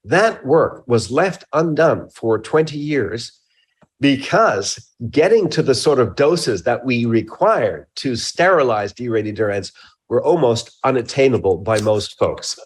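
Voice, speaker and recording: Male research scientist, interview guest. Measured, technical explainer with emphatic phrasing. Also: medium pitch, lively intonation.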